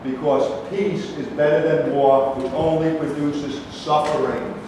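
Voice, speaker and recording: A man acting an argumentative, indignant partisan in a staged reading. His voice sits high and he declaims each point deliberately. It comes from far off in a very echoey hall with faint noise. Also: little pitch movement, lightly acted. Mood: reproachful. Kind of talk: staged reading of a two-man debate play. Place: reverberant room at a live staged reading.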